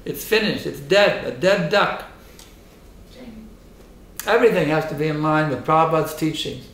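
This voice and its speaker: A hoarse man giving a temple lecture and answering questions, emphatic and insistent, repeating his points. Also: fairly high pitch, moderate pace, a bit bright, a little hiss or hum. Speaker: spiritual teacher giving a lecture. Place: temple hall. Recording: echoey hall.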